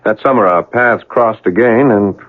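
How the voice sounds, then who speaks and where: An actor playing a concerned young family doctor in a 1970s radio drama, speaking courteously and tentatively. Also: male voice, fairly low pitch, normal speed, close to an everyday voice. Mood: earnest, reminiscing. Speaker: actor in a radio mystery play. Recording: narrowband old radio broadcast.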